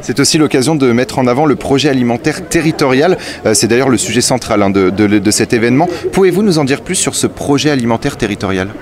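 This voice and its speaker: A man interviewing a guest for radio outdoors at a fair, asking brisk questions with small stumbles. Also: medium pitch, a crowd in the background. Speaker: radio reporter.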